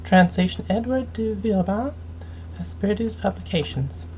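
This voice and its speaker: A woman game streamer reading story texts aloud in a measured, dramatic storytelling manner, with noticeable noise in the recording. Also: mid-range voice, a little mellow. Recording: clearly audible background noise.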